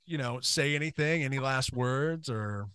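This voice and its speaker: A man's voice: medium pitch, normal speed, bright, forceful tone, smooth, dry recording. A middle-aged man hosting a podcast in casual chat, rambling and candid with frequent restarts. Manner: rambling, restarts often, laughs easily, candid. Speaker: podcast host.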